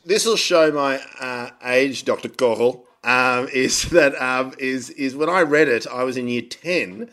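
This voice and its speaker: A man's voice: medium pitch, measured pace, few pauses, bright, forceful tone. A man co-hosting a podcast, chatting casually in a playful, jokey way and rambling into stories. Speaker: podcast co-host.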